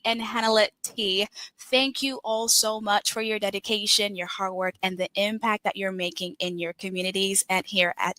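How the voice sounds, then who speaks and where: Upbeat woman host, higher voice, scripted announcements, dry sound. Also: pushed and bright, clean tone, dry recording. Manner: upbeat presenter, reading names.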